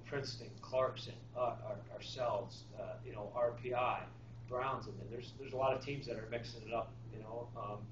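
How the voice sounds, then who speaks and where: A middle-aged man, a hockey coach, answering reporters after a game, halting with fillers and restarts. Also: medium pitch. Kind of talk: postgame press conference answers. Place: small press room with draped table. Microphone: far camera. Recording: echoey room, far mic, dull lo-fi, noisy.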